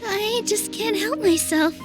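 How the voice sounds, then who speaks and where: A woman playing a sweet, modest, helpful young woman in a video game, gentle and polite in manner. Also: very high-pitched, unhurried, over loud music. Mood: delighted.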